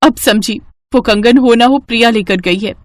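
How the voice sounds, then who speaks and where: A woman's voice: fairly high pitch, moderate pace, dry recording, lightly acted. A woman voicing a caring, cautious mother in a cartoon story, speaking earnestly and protectively. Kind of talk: cartoon voice acting.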